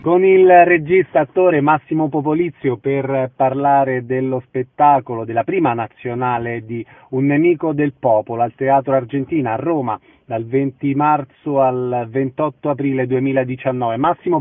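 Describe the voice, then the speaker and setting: A man hosting a radio interview, introducing guests in a brisk, friendly way. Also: mid-range voice, no room sound, soft background music. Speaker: radio host. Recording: tinny line with clear background noise.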